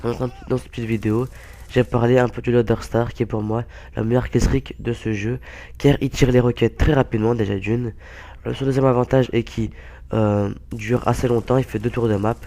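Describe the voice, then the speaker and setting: A young man talks through a gameplay clip while sick, his voice hoarse and stuffed up. The tone is dark and gravelly with a lot of fry, and he stumbles and restarts often. His pitch sits in an ordinary middle range. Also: fairly even intonation. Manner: halting, many fillers and restarts. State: hoarse, stuffy-nosed with a cold. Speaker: gaming YouTuber. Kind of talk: casual gameplay commentary.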